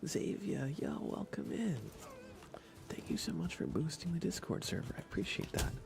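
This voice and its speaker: A man streaming ASMR in a soft, breathy whisper, chatting casually and gently while he plays a game. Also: a little high, sing-song, moderate pace, over music. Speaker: livestream host. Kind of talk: ASMR livestream, casual chat while gaming.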